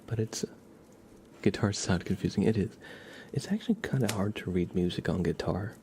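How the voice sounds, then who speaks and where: Low, creaky, soft-spoken ASMR man. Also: moderate pace, a little hiss or hum. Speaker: ASMR live streamer. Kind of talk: ASMR, casual informal music explanation to live chat.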